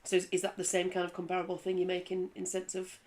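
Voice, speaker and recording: Chatty woman, low voice. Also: quick pace. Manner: chatty, lively, laughs easily. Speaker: rugby league pundit and former player. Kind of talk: casual panel chat.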